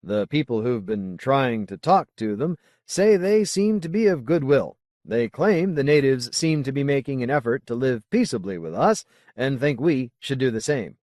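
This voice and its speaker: A man voicing a solemn, zealous colony leader in an audiobook, speaking in a formal, deliberate, oratorical way. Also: mid-range voice, big pitch swings, a little mellow, no echo, studio quality, pitched above the performer's own voice, lightly acted. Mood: grave, urging. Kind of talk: audiobook character dialogue.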